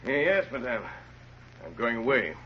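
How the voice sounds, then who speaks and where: An actor playing a quiet, resolute, secretive stranger with clipped, firm speech in a 1930s radio drama. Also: male voice, mid-range voice, very animated, a little slow, slightly rough. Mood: guarded calm. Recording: dull 1930s radio recording, background music.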